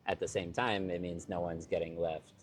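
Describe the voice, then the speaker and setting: A man with a very deep voice talks in a relaxed, rambling way, peppering his sentences with "like." A faint hum of ambience sits under his voice. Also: normal speed. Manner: relaxed, rambling, heavy use of "like". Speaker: interview guest, expat living in Vietnam. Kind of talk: casual conversation.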